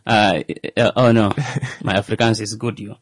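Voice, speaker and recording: A man's voice: on the low side, flat delivery, normal speed, no room sound. A man, a radio presenter and comedian, talking hesitantly with many fillers in casual studio banter.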